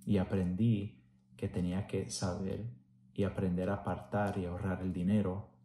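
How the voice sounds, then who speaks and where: Deep, creaky-voiced man. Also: level tone, normal speed, warm tone, a little hiss or hum. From United States. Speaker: employee volunteer presenter. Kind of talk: personal introduction and anecdote to camera. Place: small room at home, indoors. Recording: echoey room.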